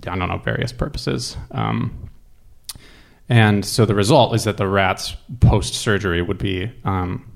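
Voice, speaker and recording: Male storyteller, slightly deep voice, conversational and thoughtful, feeling his way through a personal account. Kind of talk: casual true-story monologue.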